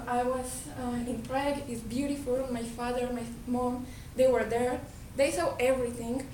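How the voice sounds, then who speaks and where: A woman with a Colombian Spanish accent gives an earnest talk, often restarting her words. Her voice sits high. It is picked up from a distance in an echoey hall, with steady noise and a low rumble behind her. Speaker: speaker giving a talk. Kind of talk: presentation to an audience.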